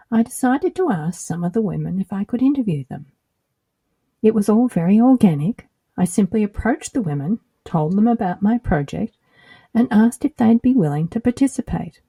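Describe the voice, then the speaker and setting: Australian woman, dark husky voice. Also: mid-range voice, no room sound. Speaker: podcast host. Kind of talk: scripted solo podcast narration.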